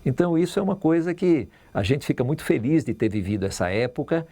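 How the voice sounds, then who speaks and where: A man's voice: a little high, sing-song, fast, warm tone. A man with a Brazilian accent reminiscing informally in an interview, as a radio veteran.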